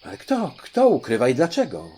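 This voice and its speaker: A flustered young storybook prince, played by a man, stumbles over words with impatient eagerness. The pitch leaps about in a very animated way, with slight noise in the background. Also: normal speed. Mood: indignant. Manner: stammering, impatient. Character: young African prince, male, eager, hesitant, easily flustered. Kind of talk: audiobook storytelling.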